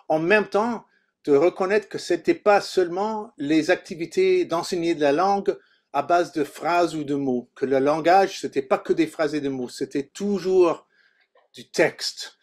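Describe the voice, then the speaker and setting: A man from Canada with a slightly high voice leads an informal workshop as a language teacher. His native English shows in a mid-Atlantic English accent. He speaks haltingly, feeling for the next word in his second language. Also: darkish tone. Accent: mid-Atlantic English accent in French.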